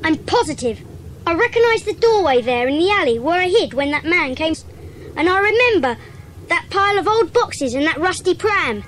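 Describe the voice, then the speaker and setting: Young British schoolboy, very high lively voice, excitable, emphatic, audio drama, some background noise. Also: female voice, normal speed, heavily acted. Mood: certain. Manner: eager, emphatic. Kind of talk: dramatised children's audiobook acting.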